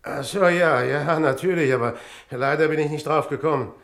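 An actor in a radio drama playing a polite, probing man with a measured, guarded manner. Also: fairly high pitch, a bit bright. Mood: hesitant, friendly. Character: polite, probing man investigating his brother's death, Mr. Bristol.

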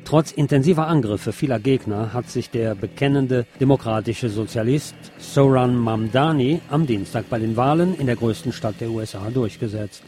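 A man's voice: mid-range voice, normal speed, few pauses, dark tone, soft background music. Male news presenter for scripted bulletins, mid-range voice.

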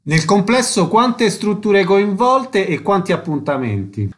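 A man's voice: sits high, big pitch swings, unhurried. A male radio host presenting guests and putting short questions to them in an interview style.

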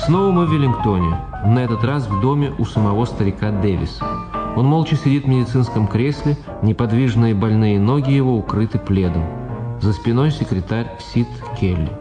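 A man narrating a radio drama from a script, setting each scene in a measured way. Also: medium pitch, animated, music in the background. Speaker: narrator, presenter.

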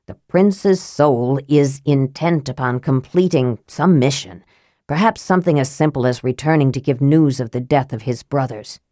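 A woman voicing a cold, clever young male wizard who speaks low, dry and curt. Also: moderate pace, warm tone, dry recording. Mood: cool.

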